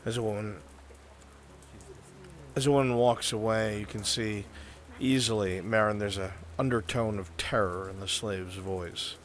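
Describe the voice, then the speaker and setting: A man's voice: fairly low pitch, steady tone, unhurried. A man narrating a live fantasy roleplay as game master.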